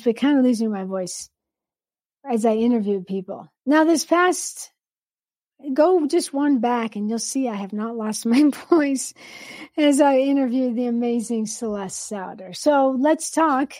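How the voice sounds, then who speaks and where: Chatty woman host with a dark, mellow voice. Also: a little high, no echo. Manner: chatty, candid, rambling with restarts. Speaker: podcast host. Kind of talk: live solo podcast talk.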